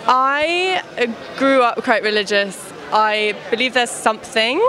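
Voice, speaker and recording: A woman thinks aloud about her beliefs on a busy street, pausing to search for words. clear crowd chatter surrounds her. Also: on the high side, expressive, bright, forceful tone.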